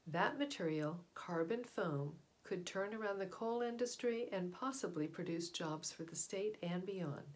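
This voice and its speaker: A middle-aged American woman reads aloud in a somewhat low voice. She presents like a storyteller for children, turning often to the listener with small asides and questions. It is recorded indoors at home, a short distance from the device's microphone.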